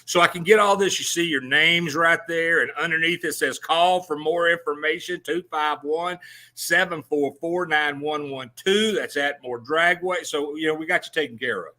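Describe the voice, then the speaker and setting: A man hosting a radio show, talking in a folksy, joking way with halting restarts. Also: on the high side, bright, forceful tone. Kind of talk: casual on-air interview.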